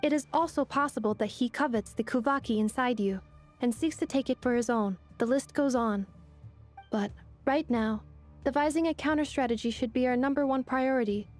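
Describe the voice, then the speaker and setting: Woman as a high-voiced, analytical robot girl. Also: moderate pace, a little mellow, soft background music, natural voice. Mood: composed, matter-of-fact. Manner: precise, formal, matter-of-fact. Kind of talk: video game character acting.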